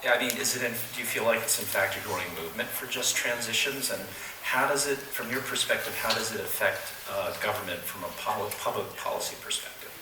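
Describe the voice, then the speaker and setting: A man moderating a conference panel, introducing a guest and asking questions in a hurry. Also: lowish voice. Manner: brisk, hurried questioning. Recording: echoey room, far from mic, noisy.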